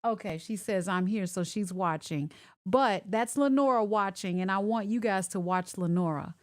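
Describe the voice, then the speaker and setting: A woman hosts a live online talk show over a video call. She talks in a chatty, everyday way, repeating herself as she checks whether her guests can hear her. Her voice sits at a middle pitch. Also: normal speed. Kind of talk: casual live-stream chat.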